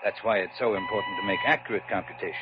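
A man playing a smooth-talking quack doctor. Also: fairly low pitch, fairly even intonation, racing, close to an everyday voice. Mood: soothing sales pitch. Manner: salesman-like, pseudo-scientific patter. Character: smooth-talking male quack doctor, swindler turned indignant. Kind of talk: 1950s radio drama acting. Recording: dull old radio recording with background hiss.

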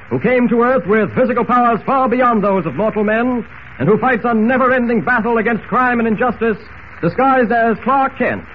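A thin, hissy vintage radio recording of a male announcer for a superhero serial. He speaks in a fairly high voice with dramatic emphasis, in classic 1940s radio style. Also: highs cut off. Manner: dramatic, emphatic recap delivery.

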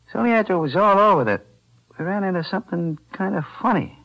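An actor playing a threatening gangster with gruff tough-guy slang in a 1940s radio drama. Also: sits high, lively intonation, fast talker, fairly bright tone, dull audio, full character voice. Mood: tense. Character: threatening male gangster, gun-toting partner.

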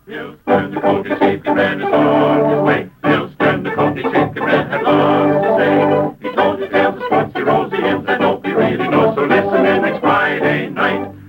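A man's voice: a little high, big pitch swings, moderate pace, pauses often. A man singing and chanting a 1940s radio theme with organ. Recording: dull, echoey, distant old radio with organ. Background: loud organ music.

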